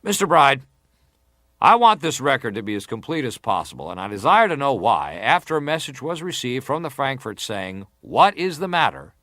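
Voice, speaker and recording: An actor playing a formal, persistent senator who questions a witness deliberately in a dramatised hearing. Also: male voice, medium pitch, big pitch swings, a bit bright, dry recording, clean studio sound. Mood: insistent, stern. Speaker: interrogator at a hearing. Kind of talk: dramatised reading of hearing testimony.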